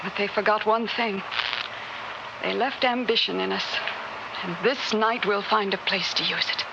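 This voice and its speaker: A woman's voice: medium pitch, fairly even intonation, normal speed, gravelly, lightly acted. A woman playing a zealous young rebel of a future world, speaking with urgent, declamatory intensity in an old radio drama. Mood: bitter, persuasive. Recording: dull, noisy old radio drama recording.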